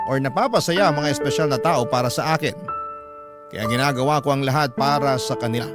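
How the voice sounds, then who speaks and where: Filipino male storyteller over prominent music. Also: medium pitch. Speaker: radio host and story narrator. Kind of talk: dramatic reading of a listener's letter.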